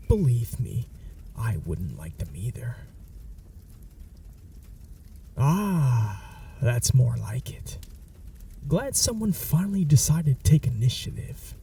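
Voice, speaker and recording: A man playing a gravelly, whispering vampire. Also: medium pitch, slow pace, far from the mic, slight background noise, heavily acted. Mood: menacing. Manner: soft half-whispered, mocking and calm. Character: smug teasing male vampire, dominant and possessive. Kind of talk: ASMR audio roleplay.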